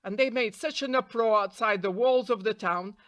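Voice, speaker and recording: A woman's voice: a little high, dry recording. Woman reading a fairytale, dry close sound. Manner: expressive, deliberate storybook reading. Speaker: storyteller. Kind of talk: scripted fairytale narration for English learners.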